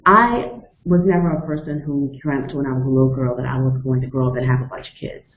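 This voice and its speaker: A woman's voice: rumbling low, fairly even intonation, fast talker, a little hiss or hum. A woman in her fifties leading a motivational webinar, explaining step by step and telling personal stories in an earnest way. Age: 50s. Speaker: webinar facilitator and coach. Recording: echoey, phone-like narrow sound.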